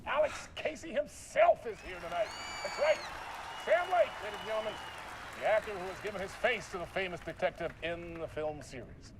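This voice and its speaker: High, swooping showy talk show host. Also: male voice, sing-song, fairly quick, creaky, heavily acted. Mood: exuberant. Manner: theatrical, effusive, booming. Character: showy male late-night talk show host, slick and effusive. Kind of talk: showman's TV introduction in a video game. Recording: noisy studio with cheering crowd.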